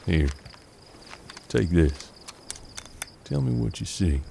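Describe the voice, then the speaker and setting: An actor playing a seasoned, gruff frontier detective in a western audio drama, measured and world-weary, with a gravelly voice. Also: male voice, deep voice, sing-song, mellow, slight background noise, exaggerated voice. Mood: calm, instructive.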